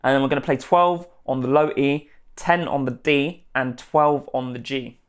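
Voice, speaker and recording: A man presenting an instructional guitar tutorial, spelling out each step clearly as the teacher and host. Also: mid-range voice, steady tone, normal speed. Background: guitar playing.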